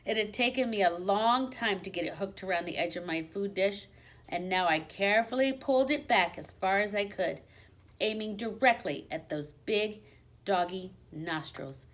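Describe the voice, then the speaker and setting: A woman reads a children's storybook aloud at a medium pitch. Her narration is expressive and dramatic, with hushed drops that build suspense. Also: moderate pace. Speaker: teacher reading a children's book aloud.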